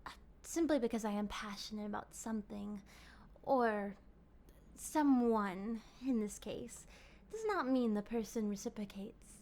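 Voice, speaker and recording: A woman playing a possessive, lovestruck vampire in an ASMR roleplay, speaking in formal, ornate, pleading phrases that often slip into breathy whispers. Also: medium pitch, unhurried, mellow, natural voice. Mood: apologetic, smitten. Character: obsessive, courtly, possessive female vampire in love.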